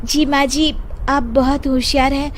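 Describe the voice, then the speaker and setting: A woman voicing a polite, anxious young daughter-in-law in an animated moral story, speaking deferentially and expressively. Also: high voice, measured pace. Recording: clearly noisy background.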